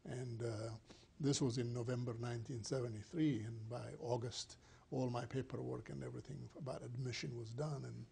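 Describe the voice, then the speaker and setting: An older man from Ethiopia, a scientist answering interview questions in a measured, thoughtful way with many fillers, recorded on a lapel mic in a small studio. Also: medium pitch, steady tone, vocal fry, dark tone, a little hiss or hum. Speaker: plant scientist guest. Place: small studio set indoors.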